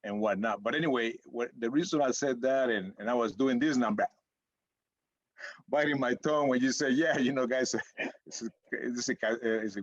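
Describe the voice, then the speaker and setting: Middle-aged dad co-host, meandering casual talk, muffled dry recording. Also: male voice, medium pitch, dry recording. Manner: rambling, searching for words. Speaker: podcast co-host, father. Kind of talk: casual chat. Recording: dull lo-fi sound, dry room.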